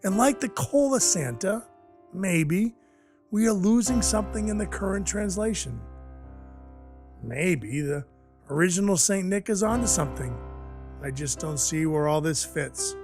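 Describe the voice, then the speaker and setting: A hard-bitten, cynical dwarf enforcer acted by a man tells his tale in an audio play. His voice sits slightly high, with dry, deadpan and oddly formal delivery. Soft music plays behind him. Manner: wry, deadpan, formal phrasing. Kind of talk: noir-like first-person audio drama narration and dialogue.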